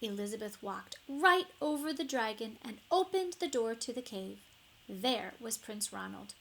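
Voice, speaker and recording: A woman narrating a children's story expressively, with deliberate dramatic emphasis. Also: on the high side, expressive, vocal fry, fairly bright tone, rough recording. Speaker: storybook reader.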